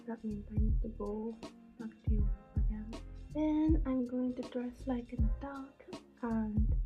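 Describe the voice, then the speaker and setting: Chatty young woman with a husky morning voice. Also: a little high, lo-fi sound. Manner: sleepy, drifts into soft whispers. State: hoarse morning voice. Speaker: vlogger. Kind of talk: casual vlog chat to camera. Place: small home apartment indoors. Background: background music.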